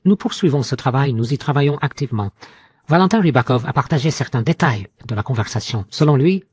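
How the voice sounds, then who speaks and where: A man delivers scripted news narration in a formal, measured manner. His voice sits at an average male pitch with a husky, less polished texture. The sound is dry, with no room around it. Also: a little mellow, no echo. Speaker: news reader.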